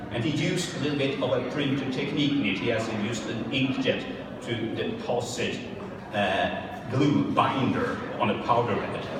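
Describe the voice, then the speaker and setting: Swedish-accented man lecturing in an echoey hall. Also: mid-range voice. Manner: halting, many fillers and restarts. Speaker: conference speaker. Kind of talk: technical lecture. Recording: roomy hall, distant mic, background noise.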